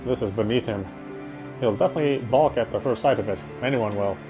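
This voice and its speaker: A man's voice: medium pitch, fairly quick, music in the background. A man streaming a game and reading its narration aloud, now and then stumbling over words.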